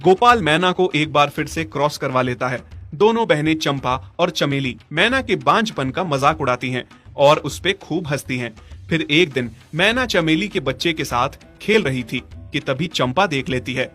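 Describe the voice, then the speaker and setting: A man's voice: fairly high pitch, animated, normal speed, a bit bright. A man narrating a scripted story as its storyteller. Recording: music behind the voice.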